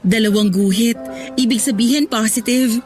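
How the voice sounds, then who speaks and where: A woman's voice: a little high, moderate pace, a little mellow, lightly acted. An actress playing an affectionate, teasing young mother-to-be and girlfriend in a radio drama. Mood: tender, excited. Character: affectionate woman, newly pregnant office worker and girlfriend. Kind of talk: Tagalog radio drama acting. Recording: music bed under dialogue.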